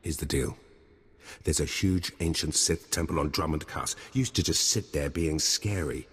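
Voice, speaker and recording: Cocky military spy for a game: very low, husky, breezy, sardonic, scheming. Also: male voice, normal speed, in a large hall. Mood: conspiratorial.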